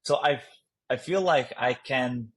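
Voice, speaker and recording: A man's voice: medium pitch, measured pace, a bit bright, breathy edge. A man from Latvia with a Latvian accent, a startup founder answering interview questions hesitantly with many fillers and easy laughs.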